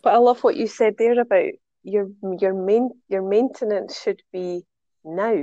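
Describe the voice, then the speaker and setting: A woman's voice: medium pitch, unhurried, mellow, dry recording, muffled recording. A woman with a Scottish accent, a podcast guest chatting in a bubbly way and jumping from thought to thought. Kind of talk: casual chat.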